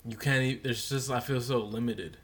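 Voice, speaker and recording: A man's voice: mid-range voice, steady tone, moderate pace. A young man in his twenties co-hosting a podcast, joking and teasing his co-host in casual banter. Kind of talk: casual chat.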